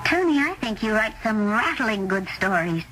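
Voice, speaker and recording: A woman acting in a radio drama as a tactful doubter, gentle and halting as she speaks. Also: mid-range voice, very animated, music in the background, close to an everyday voice. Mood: hesitant, doubtful. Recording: noisy old radio drama recording.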